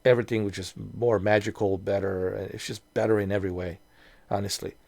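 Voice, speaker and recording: A man's voice: lowish voice, little pitch movement, a little fast, creaky, a little mellow, no echo. A man in his mid-forties talking casually to his viewers in a rambling way, with many restarts and fillers. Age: 40s. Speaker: video creator. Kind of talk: casual nostalgic vlog monologue.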